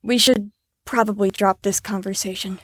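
A woman's voice: medium pitch, fairly even intonation, moderate pace, breathy edge, no echo, clean studio sound, close to an everyday voice. A woman playing a harried, rule-bound group leader in an audio drama, placating and officious.

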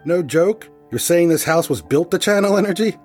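An actor playing a laid-back, crude guitarist who talks in excitable slang. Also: male voice, sits high, moderate pace, faint music behind, close to an everyday voice. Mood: excited.

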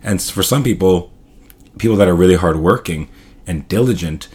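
Deep-voiced podcast host, a little hoarse. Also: male voice, fairly quick, a little mellow. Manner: casual, upbeat, loose filler-heavy delivery. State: slightly hoarse. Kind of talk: solo podcast monologue.

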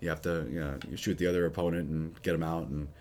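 Low-voiced raspy priest, casual chat. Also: male voice, quick pace, a little hiss or hum. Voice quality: low, husky. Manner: relaxed, rambling with many fillers. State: raspy, recovering voice. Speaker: priest, podcast co-host.

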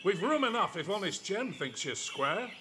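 An actor playing a brusque, impatient Georgian stagecoach driver, gruff and hurrying, calling out in an audio drama. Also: male voice, sits high, big pitch swings, moderate pace, background noise. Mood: brisk. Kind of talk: period audio drama acting.

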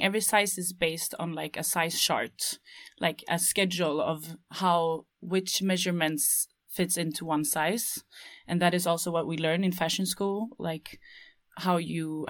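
A woman's voice: fairly low pitch, fairly bright tone, no room sound, studio quality. A young woman from Sweden, a textile design student, chatting casually and hesitantly, with many fillers. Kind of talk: casual chat.

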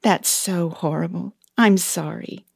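An actress playing a cheery, brisk, officious female elf who runs personnel at the North Pole, in an audio play. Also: fairly low pitch, vocal fry, husky, dry recording, studio quality, exaggerated voice. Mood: sympathetic, subdued. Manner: chatty, expressive, officious.

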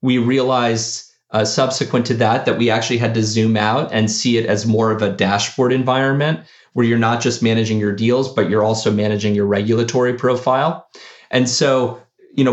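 A man, a company founder, answering interview questions in a halting way with many fillers and restarts. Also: medium pitch, echoey room, lo-fi sound. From United States.

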